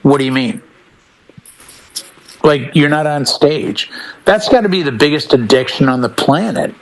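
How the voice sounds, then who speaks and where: A man co-hosting a casual panel chat, talking in an animated storytelling way. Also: a little high, measured pace, rough recording. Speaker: podcast co-host.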